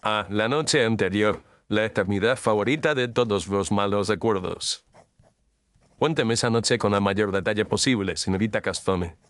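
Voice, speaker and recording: A man's voice: lowish voice, moderate pace, no room sound, close to an everyday voice. A man playing an ironic, incisive Victorian detective in a scripted audio drama, speaking in sententious lines. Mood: dryly ironic.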